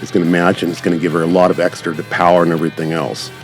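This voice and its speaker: Casting: low-voiced male gaming host, chatty and rambling, with game music behind him. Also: little pitch movement, very fast. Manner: rambling, addresses viewers directly. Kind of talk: casual gameplay commentary.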